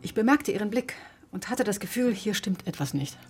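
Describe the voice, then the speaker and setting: Radio drama: sharp-tongued female doctor, played by an actress, controlled, defensive, ordinary mid pitch. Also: lively intonation, brisk, soft background music, natural voice. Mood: alarmed, pleading. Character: bitter, sharp-tongued woman doctor recounting her ordeal. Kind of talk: radio drama acting, confessional narration and dialogue.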